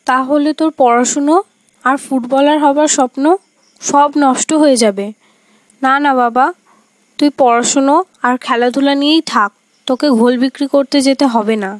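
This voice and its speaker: Woman playing a high-voiced, worried village mother. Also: steady tone, moderate pace, no room sound, natural voice. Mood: worried, protective. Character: worried, caring village mother of a young son.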